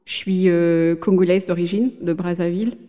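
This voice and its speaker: A woman's voice: fairly low pitch, steady tone, normal speed, warm tone, big-room sound, lo-fi sound. A woman in her thirties, a podcast guest, talking casually with many hesitations and restarts. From Benin. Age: 30s. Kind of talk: casual interview chat. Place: room in a home in Germany.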